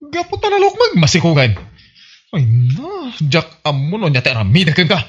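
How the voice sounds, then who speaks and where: A man's voice: on the high side, huge pitch swings, normal speed, slightly rough, exaggerated voice. A man playing an exasperated, sarcastic, nagging husband in an Ilocano radio comedy, grumbling and scolding in an Ilocano Filipino accent. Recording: muffled lo-fi, faint music behind.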